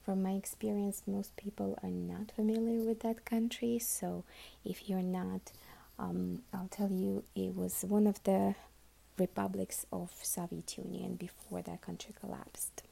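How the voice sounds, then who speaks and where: Very gravelly, dark-voiced woman. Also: medium pitch, fairly even intonation, unhurried. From Uzbekistan. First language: Russian. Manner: soft and half-whispered, many ums and restarts. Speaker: nurse introducing herself to classmates. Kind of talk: unscripted self-introduction.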